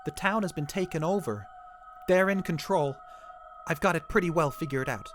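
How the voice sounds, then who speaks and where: A man's voice: a little high, animated, moderate pace, fairly bright tone, soft background music, pitched above the performer's own voice. A man voicing a paranoid, hunted husband who gives urgent, clipped orders to his wife.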